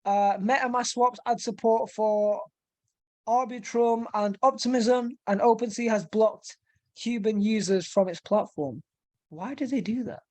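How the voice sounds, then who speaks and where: A woman hosting a casual webinar, reading through a quick news roundup and often repeating words while she thinks. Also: fairly high pitch, mellow, dry recording. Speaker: host. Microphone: video call mic.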